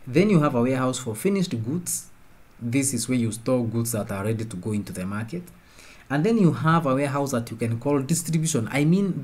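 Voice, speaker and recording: A man giving an informal tutorial, talking conversationally and often pausing to rephrase his points. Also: medium pitch, a little mellow. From Kenya. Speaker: presenter of a software tutorial. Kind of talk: informal explanatory screen-share lesson.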